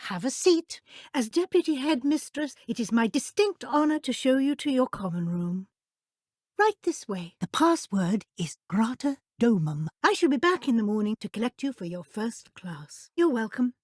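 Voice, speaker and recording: Kindly but brisk British deputy headmistress of a wizard school, fantasy game. Formal diction, higher female register, close dry recording. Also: very animated, no echo. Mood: welcoming. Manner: formal, crisp, measured schoolmistress phrasing. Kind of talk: scripted video game voice acting.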